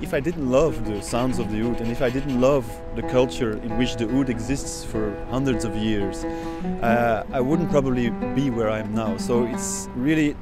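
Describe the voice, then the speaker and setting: A man in his thirties whose first language is Dutch, speaking thoughtfully in a documentary interview and searching for words as he goes. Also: mid-range voice. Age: 30s. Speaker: oud player. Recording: music playing behind.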